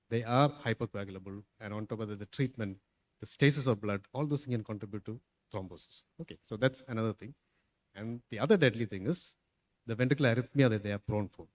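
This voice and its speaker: A man speaks as a cardiologist on a medical panel, his voice at an ordinary middle pitch. He explains things in a steady, methodical way. He keeps punctuating his points with a quick "okay". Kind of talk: conference panel discussion.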